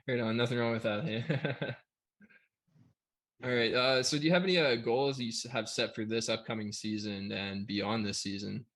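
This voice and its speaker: A man's voice: medium pitch, moderate pace, a bit bright. A man hosting a podcast interview, chatty and stumbling over his questions. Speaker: podcast host. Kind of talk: casual interview.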